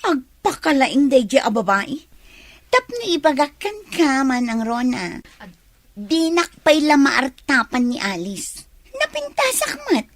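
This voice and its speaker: A woman's voice: sits high, huge pitch swings, slow, no room sound, heavily acted. An actress with an Ilocano Filipino accent playing a jealous, haughty, gossipy young village woman in a radio drama.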